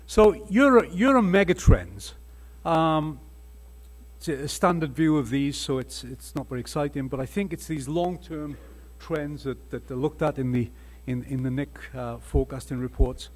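A man's voice: fairly high pitch, moderate pace. An older man with a Welsh accent giving an earnest, emphatic talk at a conference panel table, with frequent restarts. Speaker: panel speaker. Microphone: table microphone.

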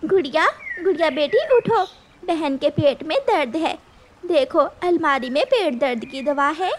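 A woman's voice: high voice, expressive, unhurried, pitched above the performer's own voice. A woman voicing a devoted, anxious mother bird in a cartoon, earnest and pleading. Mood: urgent.

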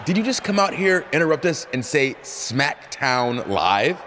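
A man's voice: on the high side, big pitch swings, unhurried, a bit bright. A man playing a level-headed, sardonic pro wrestler in a video game, reasoning with some disbelief. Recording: echoing arena, faint noise.

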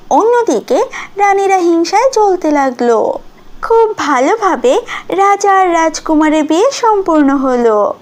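A woman narrating a scripted fairy tale for a cartoon as the storyteller. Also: extremely high pitch.

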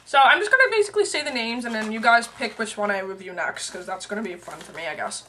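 A young woman talking straight into a webcam in a small room, her voice bright and a touch high. She rambles casually with fillers and side remarks, and her voice sounds worn and fading. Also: expressive, fast talker, slight background noise. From Canada. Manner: rambling, lots of fillers and asides. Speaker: beauty and tea vlogger. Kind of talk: casual chatty vlog. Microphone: webcam at arm's length.

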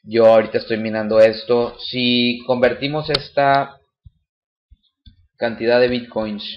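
A man talks through a screen recording in a casual, halting way, full of fillers and restarts. His voice sits at a middle pitch, and the home recording sounds dull and lo-fi. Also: little pitch movement, slight background noise. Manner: explains step by step with many fillers and restarts. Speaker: channel host demonstrating a website.

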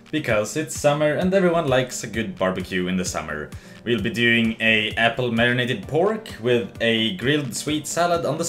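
A young man narrating a casual cooking video in a relaxed, joking way, with fillers. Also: fairly low pitch. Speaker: cooking show host. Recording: voice-over with clear background music.

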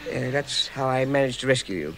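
A man's voice: mid-range voice, animated, fairly quick, creaky, slight background noise. A man with a British accent playing a small, plucky, fussy hobbit in a radio drama, flustered and prone to stammering. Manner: flustered, stammering, muttering to himself.

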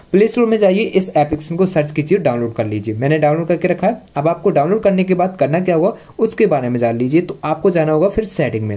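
Mellow-voiced man giving tech instructions. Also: a little high. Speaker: tech tutorial host. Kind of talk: step-by-step tutorial voice-over.

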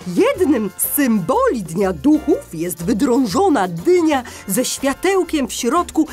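A woman voicing an excitable, cheerful female parrot teacher in a children's audio drama, with theatrical, drawn-out emphasis. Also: high voice, soft background music, heavily acted. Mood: explaining.

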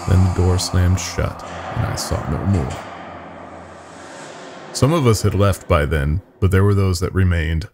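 A man tells a first-person horror story in a very deep voice with plenty of gravelly fry. He delivers it as a podcast storyteller, with dry, wry asides along the way.

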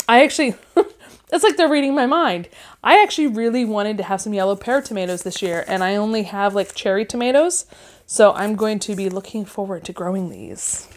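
A woman in her thirties chatting casually at home, gushing with excitement as she unboxes and reads out seed packets. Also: medium pitch. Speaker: gardening vlogger. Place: living room at home, indoors.

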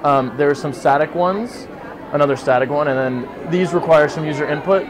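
A man's voice: fairly high pitch, normal speed, crowd noise, poor audio. A male student explaining his exhibits casually, with frequent ums. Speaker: student presenting projects.

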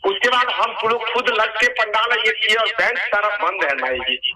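A man's voice: high voice, big pitch swings, normal speed, pushed and bright. A man pleading politely and repetitively on a phone call, as a committee chairman negotiating. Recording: distant, radio-like phone call recording.

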